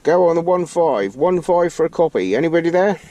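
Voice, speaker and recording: A man with a slightly high-pitched voice talks casually to viewers as he demonstrates gear. His delivery is informal and halting, wandering as he goes. He is recorded inside a car with very loud hiss and noise behind him. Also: expressive. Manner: hesitant, rambling, informal. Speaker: vlogger demonstrating a CB radio. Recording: noisy car interior, radio hiss. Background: loud radio hiss and static.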